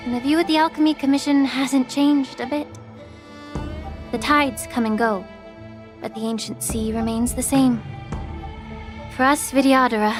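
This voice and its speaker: An actress playing a poised, gracious healer woman in a video game, speaking politely and eloquently in measured, reflective phrases. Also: fairly high pitch, close to an everyday voice. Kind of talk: English video game dub acting. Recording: music behind the voice.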